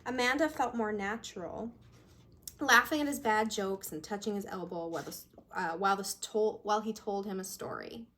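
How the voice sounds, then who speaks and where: A woman author speaking in a mid-pitched voice, introducing and reading her own fiction. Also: a little fast, a bit bright. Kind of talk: casual introduction, then narrated fiction reading.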